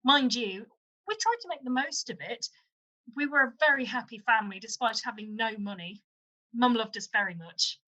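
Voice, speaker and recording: A woman as a high, bright, cheeky pantomime daughter. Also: expressive, normal speed, poor audio. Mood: wistful, confiding. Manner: chatty, confiding, comic delivery. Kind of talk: radio pantomime acting.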